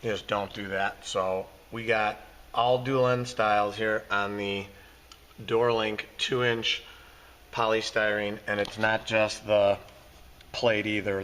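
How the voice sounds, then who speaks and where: A hoarse man giving a casual, folksy walkthrough of his garage door repair work. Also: fairly low pitch, a bit bright, a little hiss or hum. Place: underground parking garage. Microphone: handheld phone camera.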